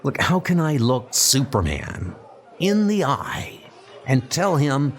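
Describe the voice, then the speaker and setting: A man's voice: big pitch swings, slow. A man playing an anxious, self-doubting young male rookie superhero in a comic book dub, fretful and rambling. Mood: exasperated. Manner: fretful, rambling, high-strung.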